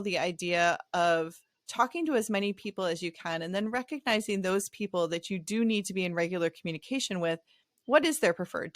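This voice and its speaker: A middle-aged woman hosting an interview podcast, telling stories in a chatty, animated way with playful asides. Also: medium pitch, dry recording, clean studio sound. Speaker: podcast host. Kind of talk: conversational interview.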